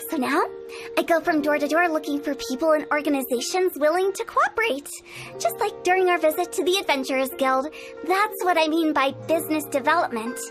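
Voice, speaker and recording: A woman voicing a mischievous young female funeral parlor director in a game, playful and teasing, with spooky jokes and a salesy pitch. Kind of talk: video game voice acting. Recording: game audio with faint music. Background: faint game music.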